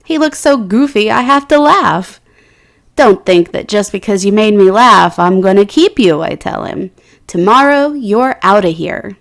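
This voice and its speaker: A woman reading a children's picture book aloud, slow and expressive, giving the characters' quotes their own lift. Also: mid-range voice, creaky.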